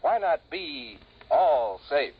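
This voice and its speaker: An actor playing a slick, cheerful male pitchman reading a punchy 1950s radio commercial straight at the listener. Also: very animated, takes it slowly. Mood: cheerful, persuasive. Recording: muffled old radio recording, faint noise.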